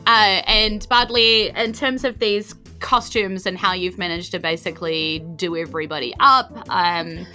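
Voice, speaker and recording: A woman's voice: lowish voice, steady tone, unhurried, hardly any pauses, bright, forceful tone, faint music behind. A woman acting as dungeon master, casually narrating a tabletop role-playing game to her players.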